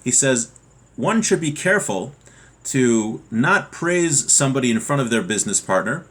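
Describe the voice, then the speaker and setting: A man's voice: medium pitch, sing-song, normal speed, fairly bright tone, breathy edge. A man giving an informal lesson as a religious teacher, reading from a text and then explaining it with everyday examples.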